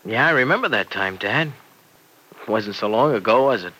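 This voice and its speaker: An actor playing an earnest, excitable young husband and devoted son-in-law in an old radio serial. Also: male voice, lowish voice, moderate pace, poor audio. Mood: chatty, earnest. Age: young adult. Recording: vintage radio recording.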